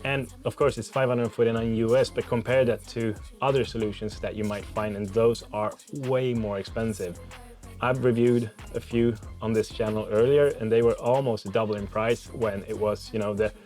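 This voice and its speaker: A man's voice: fairly low pitch, fairly even intonation, moderate pace, darkish tone, soft background music. A man hosting a tech channel, talking through a product review to camera in a casual, conversational way.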